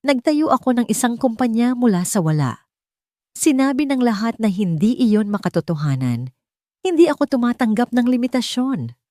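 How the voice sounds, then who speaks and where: Filipino lady doing a proud defiant rich dad in an audiobook, insistent, pitch moving a lot, dry sound. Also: very animated, normal speed, mellow, no echo. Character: proud defiant businessman father refusing limits.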